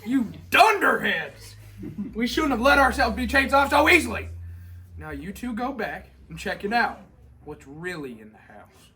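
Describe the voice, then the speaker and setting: Teenage boy acting robber captain, bright forceful voice, bossy, blustering, exasperated, animated, student reader's theater. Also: very high voice, a little slow, exaggerated voice. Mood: bossy, angry. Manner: exasperated, barking orders.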